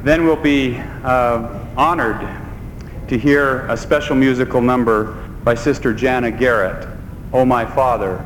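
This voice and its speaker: A man conducting a church meeting reads out the order of speakers in a formal, plain voice. The sound is roomy and echoey, with a long hall tail and a faint rumble. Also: fairly even intonation, a little slow, fairly bright tone. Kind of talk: announcing speakers.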